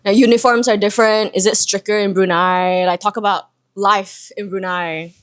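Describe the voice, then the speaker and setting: A woman's voice: medium pitch, steady tone, moderate pace, clear, resonant tone. A young woman co-hosting a casual podcast in a living room, chatty and full of filler words. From Malaysia. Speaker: podcast co-host. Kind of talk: casual chat.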